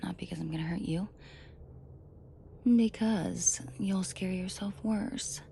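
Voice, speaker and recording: A woman's voice: fairly low pitch, creaky, warm tone, a little hiss or hum. A woman playing a female mafia boss in a scripted roleplay audio drama, controlled and commanding, menacing to her men yet protective and tender. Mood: gentle, commanding. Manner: controlled, commanding, slow and deliberate, often dropping to whispers. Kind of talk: scripted roleplay audio drama, intimate direct address to listener.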